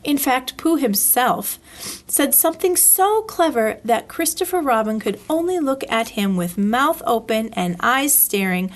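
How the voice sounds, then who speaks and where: A woman reading a children's story aloud in an expressive, deliberate storytelling style. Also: fairly high pitch.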